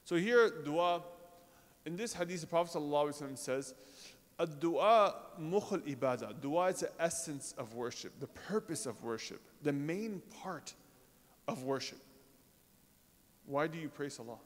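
A man giving an informal sermon to a congregation, talking in a conversational way with pauses, fillers and rhetorical questions. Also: a little high, lively intonation. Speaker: religious speaker. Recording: reverberant hall.

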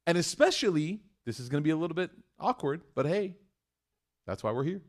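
A man giving a live sermon to a room of listeners, chatty and joking, moving between loud emphatic bursts and hushed points. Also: on the high side, expressive, brisk. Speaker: mosque speaker. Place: room with an audience.

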